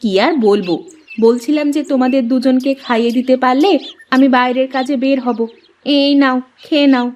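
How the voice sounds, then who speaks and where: Woman playing a high-voiced, long-suffering village mother. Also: steady tone, normal speed, darkish tone, a little hiss or hum, natural voice. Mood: aggrieved. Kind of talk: cartoon dialogue acting.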